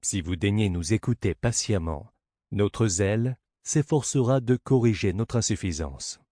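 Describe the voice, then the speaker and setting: A man narrating a classic play as an audiobook, in a measured, declamatory theatrical reading. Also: fairly low pitch, dark tone, no echo, studio quality.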